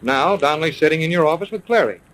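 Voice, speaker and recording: An actor playing an eager, puzzled young detective sidekick in a 1930s radio serial, slangy and inquisitive. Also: male voice, on the high side, fast talker, fairly bright tone, slight background noise, natural voice, poor audio. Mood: puzzled, impatient.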